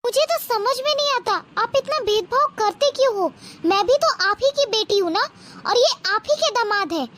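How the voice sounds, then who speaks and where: A woman playing an indignant young married daughter who speaks up reproachfully in an animated moral story. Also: very high voice, normal speed, slight background noise. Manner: pleading, reproachful.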